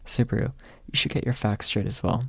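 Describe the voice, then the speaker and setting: A man's voice: mid-range voice, fairly quick, gravelly, fairly bright tone, breathy edge, no echo. A man voicing a haughty little girl with quaint, prim, rebuking speech in a fantasy audiobook. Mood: cold rebuke.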